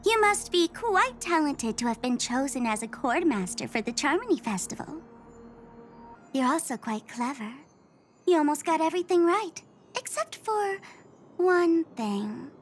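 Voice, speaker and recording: A woman voicing a mischievous, taunting young female trickster in a dramatic video game performance, with a mocking, theatrical delivery. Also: sits high. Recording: loud music behind the voice. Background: loud background music.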